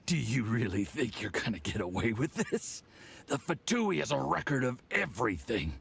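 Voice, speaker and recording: A man playing an arrogant, scheming agent with a gravelly, contemptuous delivery in a video game. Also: mid-range voice, big pitch swings, normal speed, a bit bright. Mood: weakening, defiant. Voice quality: gravelly, creaky. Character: arrogant scheming Fatui agent, poisoned and threatening. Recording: game audio with noise from a livestream.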